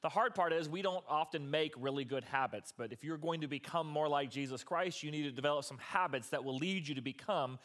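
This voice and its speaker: Hoarse pastor with a strained voice. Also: male voice, on the high side, fairly quick, few pauses, a bit bright. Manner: casual, rambling asides. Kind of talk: sermon.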